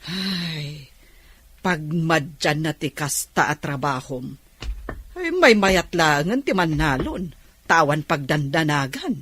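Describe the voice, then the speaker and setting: A woman's voice: sits low, lively intonation, slow, fairly bright tone, breathy edge, heavily acted. A woman acting in a radio comedy drama as a fretful, protective older mother figure. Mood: worried, fussing.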